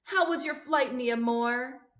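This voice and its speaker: Woman reader as a caring, brisk mother. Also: high voice, fairly bright tone, voice pushed up. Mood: affectionate. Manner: affectionate, brisk, mixes in Spanish words. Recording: roomy, echoing sound.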